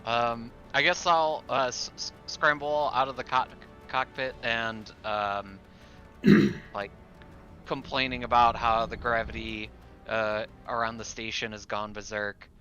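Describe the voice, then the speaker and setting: A man's voice: medium pitch, fairly even intonation, unhurried, sharp tone. A man playing a tabletop roleplaying game, improvising his move hesitantly and stumbling as he searches for words. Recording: dull lo-fi mic, music behind.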